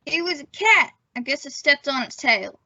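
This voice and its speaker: A cheeky young son, played by a young woman in a radio family comedy. The voice is high and bright with lively swings in pitch, on a slightly muffled recording. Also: normal speed, heavily acted. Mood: matter-of-fact. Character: cheeky, put-upon son, a young boy. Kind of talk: old-time radio family comedy acting.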